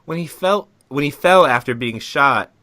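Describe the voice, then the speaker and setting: A man's voice: on the high side, animated, moderate pace, pushed and bright, dry recording. A man hosting a comedy podcast, giggly and easily cracked up as he chats and reads aloud casually. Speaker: podcast host. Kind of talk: casual comic podcast chat, reading a summary aloud.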